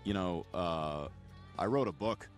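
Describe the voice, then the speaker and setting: A man's voice: low-pitched, unhurried, faint music behind. An actor voicing a wry, hesitant adult male talking dog in a cartoon. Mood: awkward.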